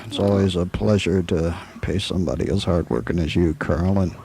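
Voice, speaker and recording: A man playing a steady, weary frontier marshal in a western radio play, with a halting, folksy, deliberate delivery. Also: deep voice, gravelly, slight background noise. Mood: friendly, guarded.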